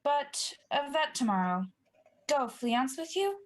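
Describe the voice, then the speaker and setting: A woman's voice: sits high, very animated, takes it slowly, exaggerated voice. A young woman acting an ambitious, guilty usurper king in a Shakespeare radio play. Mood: courteous, guarded. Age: young adult. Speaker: student actor.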